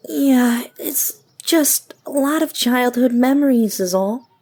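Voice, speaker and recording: A woman's voice: high-pitched, takes it slowly, mellow, full character voice. A woman playing a guarded, skeptical young Navy veteran in an audio drama, dry and curt in manner. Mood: softening, weary.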